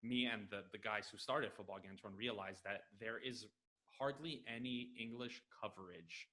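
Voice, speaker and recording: An American man from Los Angeles joins a podcast by headset from his home. His voice sits a little low, and he talks with eager, quick enthusiasm, sprinkling in fillers. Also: sharp tone. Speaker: podcast guest. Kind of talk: casual interview answers. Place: home room, video call.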